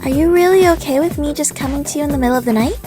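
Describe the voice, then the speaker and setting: A woman playing a restless teenage schoolgirl in a fan audio drama, expressive and conversational. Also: high voice, rapid-fire, music in the background, lightly acted. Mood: hesitant. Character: restless teenage schoolgirl, troubled but playful twin sister.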